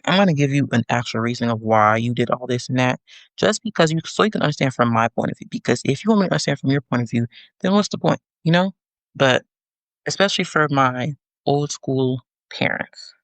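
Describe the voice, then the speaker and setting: Sassy young woman podcast host, gravelly fry in her voice, dry close sound, teasing the audience. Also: low voice, no echo. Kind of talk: casual monologue to listeners.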